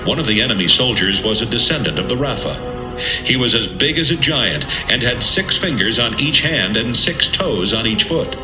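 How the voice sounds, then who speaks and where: A man narrating an audio Bible from a script in a measured, serious manner. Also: medium pitch, animated. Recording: roomy sound with music underneath.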